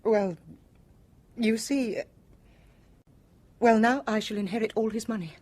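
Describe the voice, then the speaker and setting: An actress with a British accent playing a bitter, fatalistic wife in a radio drama, guarded and weary. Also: female voice, on the high side, measured pace, darkish tone. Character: brittle, bitter, fatalistic wife of a cruel husband.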